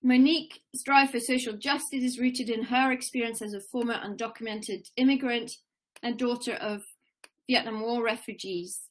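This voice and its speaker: A woman's voice: a little high, moderate pace, low-quality recording. A woman in her forties introducing symposium speakers on a video call, reading steadily from notes. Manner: steady, formal, occasional fillers. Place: home room with plants and shelves. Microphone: laptop webcam on a video call.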